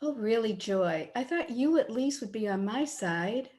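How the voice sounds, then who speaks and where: A slightly high female voice from an actress as a lovestruck, headstrong young daughter. The delivery is earnest and a touch petulant, in old-fashioned radio-play style. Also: expressive, measured pace, darkish tone. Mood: let down. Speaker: actor in an amateur radio play. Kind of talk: old-time radio drama acting. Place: at home, recorded over video call.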